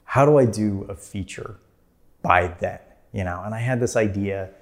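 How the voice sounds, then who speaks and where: Low-voiced man in his thirties, rambling. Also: moderate pace. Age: 30s. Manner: rambling, many "like" fillers, laughs easily. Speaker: filmmaker guest. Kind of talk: casual interview storytelling.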